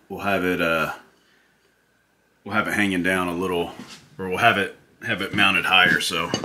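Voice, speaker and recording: Laid-back man with a low voice. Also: noisy background. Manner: relaxed, rambling, many fillers and restarts. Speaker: DIY vlogger. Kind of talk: casual how-to narration. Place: tiny house interior and outdoor deck.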